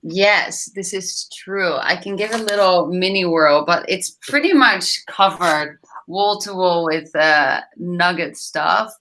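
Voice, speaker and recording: A woman's voice: on the low side, slow pace, hardly any pauses, sharp tone. A woman in her thirties, originally from the Netherlands, with a light Dutch and British-tinged accent, chatting casually as an interview guest over a video call from a home office, using lots of fillers. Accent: light Dutch-tinged English with British touches. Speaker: startup founder and guest.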